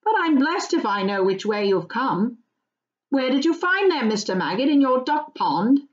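A woman's voice: high voice, sing-song, moderate pace, voice pushed up. A woman voicing a cheerful, teasing young male hobbit with a breezy manner, on slightly dull audio.

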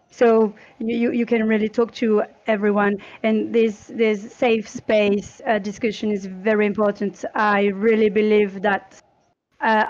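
A woman's voice: medium pitch, a little mellow. A woman in her thirties giving her opinion on a panel over a headset, searching for words. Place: room at home, on a video call. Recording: slightly dull video call audio.

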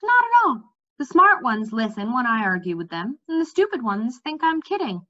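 Woman reading as a brisk, animated young princess. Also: fairly high pitch. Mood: matter-of-fact. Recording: slightly dull webcam audio.

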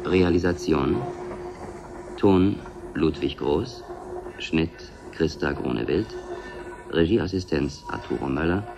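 Deep, gravelly, slow male announcer. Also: over music. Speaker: credits announcer. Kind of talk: scripted radio credits announcement. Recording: noisy background ambience, 1970s radio.

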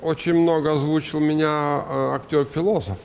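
Older male guest, gravelly voice with fry, hesitant fillers, headset mic, faint studio hiss. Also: a little high, fairly even intonation, normal speed, a little mellow, in a large hall. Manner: hesitant, many filler sounds, musing. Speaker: guest actor. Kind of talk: talk show interview. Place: TV studio with audience.

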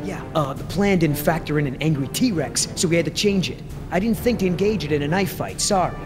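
A man's voice: fairly high pitch, lively intonation, a little fast, music in the background, close to an everyday voice. An actor playing a cocky, wisecracking young male mercenary in a video game, flippant and sarcastic. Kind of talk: video game cutscene acting.

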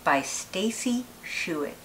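A woman reading a children's picture book aloud with deliberate, expressive phrasing. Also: medium pitch, a little hiss or hum, low-quality recording. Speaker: read-aloud narrator, teacher.

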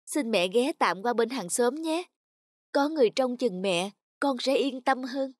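An actor voicing a devoted, anguished young peasant son in an animated folk tale, earnest and pleading. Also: medium pitch, unhurried, no room sound, studio quality. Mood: anxious.

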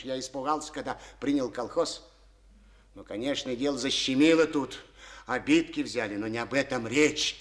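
A man's voice: medium pitch, fairly bright tone, close to an everyday voice. An actor playing a resentful, stubborn collective-farm chairman in a studio radio play, speaking in a folksy way full of proverbs.

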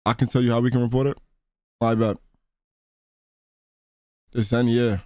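A man's voice: medium pitch, level tone, moderate pace, darkish tone, dry recording. A man streams a game and reacts as he goes. His talk is casual, slangy and full of swearing, and the sound is close and dry. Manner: slangy, profane.

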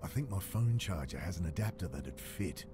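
Deep-voiced, weary brooding drifter. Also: male voice, animated, normal speed, background noise. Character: weary, shaken drifter man, brooding narrator. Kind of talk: pulp thriller game narration and dialogue. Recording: dark synth music behind. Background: brooding synth score.

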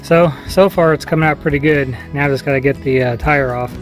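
A man's voice: fairly high pitch, steady tone, a little fast, soft background music. A man in his thirties vlogging outdoors on a homestead, talking casually as he works on a repair, filmed with the camera held at arm's length. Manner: easygoing, plainspoken, explaining as he works. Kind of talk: casual how-to vlog. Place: outdoors on a farmyard. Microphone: camera mic, selfie at arm's length.

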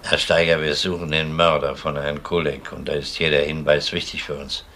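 An actor playing a courteous, probing police detective who questions people in a measured, formal way in a German crime radio play. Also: male voice, rumbling low, little pitch movement, sharp tone, exaggerated voice. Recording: noisy old radio drama recording.

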